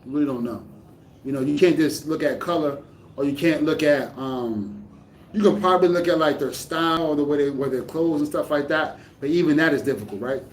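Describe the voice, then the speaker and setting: A man talks casually to his camera, rambling and restarting his sentences as he works out his thoughts. His voice sits a little high for a man and comes from some distance away, in a room that echoes, with a faint hum of ambience behind him. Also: fairly quick. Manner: rambling, restarts often, thinking aloud. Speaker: vlogger. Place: living in the Dominican Republic.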